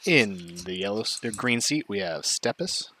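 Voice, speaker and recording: A man's voice: on the low side, normal speed, a little hiss or hum. A man hosting a board game tournament stream, introducing players and chatting casually with his viewers. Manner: relaxed, chatty, loose asides to chat.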